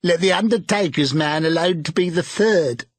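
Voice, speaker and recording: Man putting on a shrill, brazen charwoman. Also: normal speed, no echo, lightly acted. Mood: scornful. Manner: shrill, scornful, loud. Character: bold defiant charwoman, brash older woman.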